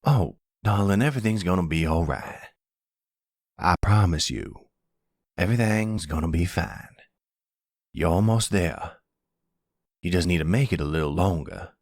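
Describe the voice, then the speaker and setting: An older mafia patriarch, played by a man in an audio drama. He is a fiercely protective, doting father with a gruff edge and a low, raspy voice, close and dry. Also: animated, unhurried, pauses often, a little mellow, dry recording, full character voice. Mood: tender, worried. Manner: tender to daughter, gruffly threatening to others. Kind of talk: audio roleplay acting.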